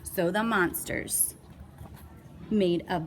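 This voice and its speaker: A woman's voice: medium pitch, steady tone, drawn-out words, a little hiss or hum. A woman reading a children's picture book aloud with playful, dramatic emphasis and little asides. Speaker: read-aloud storyteller.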